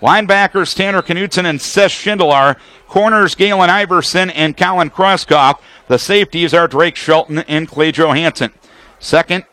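A man's voice: sits high, bright, forceful tone, dry recording. An American man calling football play-by-play as a radio announcer, in precise, detailed, clipped phrases. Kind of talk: live sports play-by-play commentary.

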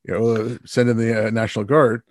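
A man hosting an interview, casual and animated, with plenty of fillers. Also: medium pitch, a little fast, dry recording, studio quality. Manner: animated, loose with fillers like "like" and "you know". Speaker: host. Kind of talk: conversational interview talk.

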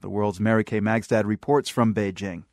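A man's voice: fairly low pitch, fairly even intonation, moderate pace, darkish tone, dry recording, studio quality. A man reading a scripted news introduction as a radio host.

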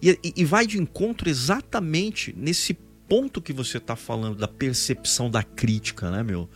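A man's voice: mid-range voice, moderate pace, faint music behind. A man in his forties with a Brazilian São Paulo state accent, telling stories expressively and emphatically in a casual podcast conversation. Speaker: podcast host, voice-over announcer and actor.

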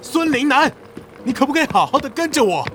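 An actor playing a controlling, weary and exasperated young ex-boyfriend in an audio drama. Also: male voice, very high voice, very animated, brisk, a bit bright, heavily acted. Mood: bossy, weary.

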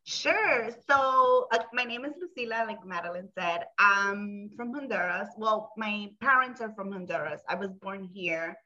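A woman's voice: mid-range voice, a little slow, hardly any pauses, pushed and bright. A woman from the Bronx, a podcast guest who talks in a chatty, reflective way and laughs often. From the Bronx, New York. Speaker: academic advisor. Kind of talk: casual podcast chat. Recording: dull lo-fi sound.

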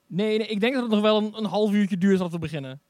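A man's voice: high-pitched, fast talker, darkish tone, dry recording, close to an everyday voice. A man playing a cheerful, proud and slightly bumbling alien dignitary in a comic sci-fi radio play, polite and a little hesitant.

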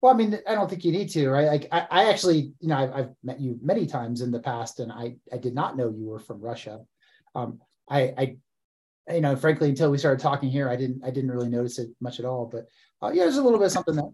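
A man's voice: medium pitch, lively intonation, fast talker, darkish tone. A man in his forties hosting a casual interview, friendly, often repeating words as he starts sentences. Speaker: podcast host.

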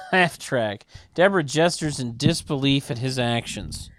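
Deadpan man reading stage directions, close dry sound. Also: medium pitch, measured pace, dry recording. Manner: deadpan, mocking asides. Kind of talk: sardonic table read with mock commentary.

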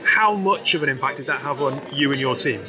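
A man hosting a casual interview, chatty and asking quick follow-up questions. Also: medium pitch, animated, crowd noise. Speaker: interview host.

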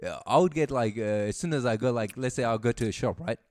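Laughing man, halting casual chat. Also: mid-range voice, expressive, darkish tone, no echo. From Afghanistan. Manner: laughs often, halting with fillers and restarts.

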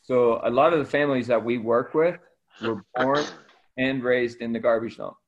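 A man's voice: medium pitch, normal speed. Middle-aged man, slightly dull lo-fi sound. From Ecuador, raised by missionary parents. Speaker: interview guest, missionary aid worker. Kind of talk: conversational storytelling.

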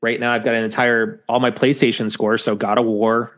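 A man's voice: medium pitch, little pitch movement, fairly quick, fairly bright tone. Chatty male podcast host, loose and rambling. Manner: rambling, lots of fillers. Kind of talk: casual chat.

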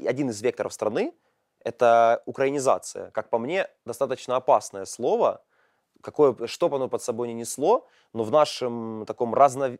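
A man hosting a casual discussion, speaking thoughtfully with many pauses and fillers. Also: mid-range voice, darkish tone, no room sound, clean studio sound.

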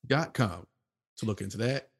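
A man hosting a podcast in casual chat, laid-back and quick to laugh, running through the show's plugs. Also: lowish voice, breathy edge, soft background music. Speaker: podcast host.

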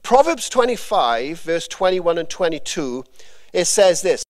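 A man's voice: a little high, very animated, a little slow. A Welsh man giving a conversational sermon with a personal testimony, rambling and informal with frequent fillers and restarts. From Wales. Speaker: preacher.

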